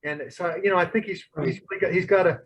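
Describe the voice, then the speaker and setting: A man guides a Bible study group, thinking aloud and often restarting his sentences. His voice sits a little high for a man. The sound is dull and lo-fi. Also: fairly bright tone, slight background noise. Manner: halting, many restarts and fillers. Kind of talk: casual group discussion.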